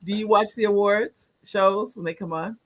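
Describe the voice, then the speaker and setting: A woman hosting a talk show, gushing with praise for her guest. She talks in loose sentences that she keeps restarting. Her voice sits at an ordinary mid pitch. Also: normal speed. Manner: effusive and complimentary, rambling restarts. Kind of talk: casual interview chat.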